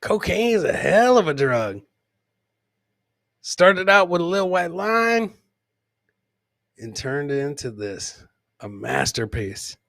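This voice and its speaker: Lebhafter Comedy-Podcaster mit hoher Männerstimme. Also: male voice, on the high side, very animated, slow pace, gravelly, long pauses, fairly bright tone, no echo, studio quality. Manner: informal, repeats catchphrases like a showman. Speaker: podcast host. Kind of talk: casual live comedy commentary on clips.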